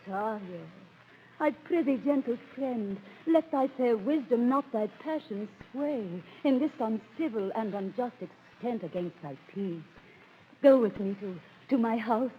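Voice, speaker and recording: A woman's voice: a little high, slight background noise. An actress playing an imperious, lovestruck noblewoman in grand, declamatory 1930s radio Shakespeare. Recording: muffled old 1930s radio broadcast.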